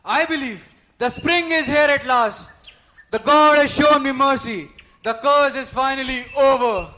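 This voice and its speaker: A man's voice: very high voice, a little slow, bright, forceful tone, full character voice. A male actor playing a lonely, selfish giant in a school stage play. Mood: relieved.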